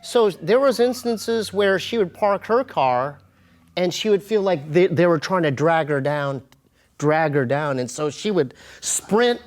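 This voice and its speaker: A man's voice: on the high side, expressive. Casual, slangy male storyteller. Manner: informal, slangy, halting with repeated phrases. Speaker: interview guest, comedian and podcast host.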